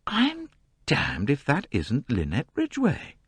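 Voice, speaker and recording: A man's voice: mid-range voice, huge pitch swings, slow, breathy edge, dry recording, voice pushed up, full character voice. A man voicing an offhand, disparaging young man with a drawling manner.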